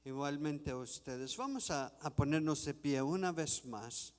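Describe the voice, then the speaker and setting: A man preaching a sermon in a church, reading scripture slowly and deliberately. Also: on the high side, long reverb tail. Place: church sanctuary.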